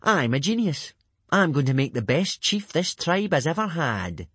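A man's voice: medium pitch, very animated, a little slow, husky, dry recording, voice pushed up. A man voicing a boastful, sneering teenage Viking bully in a children's audiobook, drawling and self-satisfied. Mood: very pleased. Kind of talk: children's audiobook character acting.

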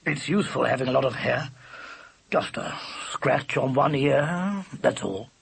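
A man's voice: mid-range voice, unhurried, gravelly, husky, full character voice. A man voicing a good-natured, drowsy, shaggy storybook dog who speaks in a casual, chatty way. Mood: modest. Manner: casual, matter-of-fact, chatty.

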